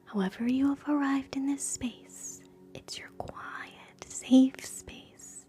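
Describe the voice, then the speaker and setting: Female ASMR host, breathy whispering, mellow tone, gentle soothing delivery, unhurried. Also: high voice. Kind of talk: whispered live ASMR, guided visualization mixed with chat.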